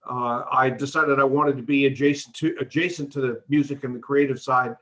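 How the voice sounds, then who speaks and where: A middle-aged American man answering questions about his career in a relaxed, plain-spoken way on a video call. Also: mid-range voice, a little fast. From United States. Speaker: guest.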